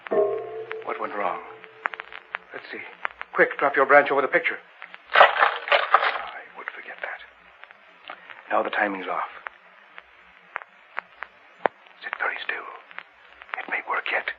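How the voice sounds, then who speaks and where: Obsessive occult scholar, dull old recording. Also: male voice, lowish voice, animated, a little slow, pauses often, very noisy. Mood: tense, insistent. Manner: grave, theatrical, pedantic. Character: older male occult scholar, obsessive, patronizing, eerily eager. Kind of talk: old-time radio horror drama acting.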